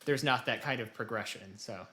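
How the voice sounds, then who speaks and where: A man's voice: mid-range voice, normal speed, pushed and bright. Self-deprecating man chatting casually about games. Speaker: podcast co-host.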